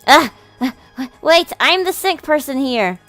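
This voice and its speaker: A woman's voice: sits high, animated, unhurried, no echo, pitched above the performer's own voice. Tender, reassuring big brother in a story game, sincere and light-voiced, read by a woman, music behind. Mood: flustered. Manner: gentle, sincere. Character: caring, earnest older brother, tender and reassuring. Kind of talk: visual novel character voice in a let's play.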